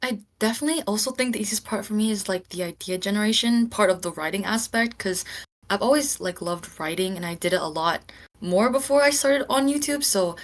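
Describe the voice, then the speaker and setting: A woman's voice: medium pitch, brisk. A woman chatting reflectively as a podcast panelist on an online call, informal, self-deprecating and heavy on filler words. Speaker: podcast panelist, writer and amateur voice actor. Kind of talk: casual reflective chat.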